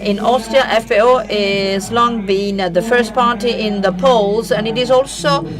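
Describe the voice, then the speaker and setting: A woman introducing speakers at a rally, slow and halting, with frequent fillers. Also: on the high side, steady tone. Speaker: event presenter.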